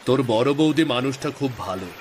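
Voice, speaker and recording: A man's voice: medium pitch, animated, fairly quick, darkish tone, slight background noise, close to an everyday voice. A man playing a weary, regretful village fisherman in a cartoon story, lamenting and sighing. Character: weary, regretful fisherman, husband of two wives. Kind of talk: scripted cartoon story acting. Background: music.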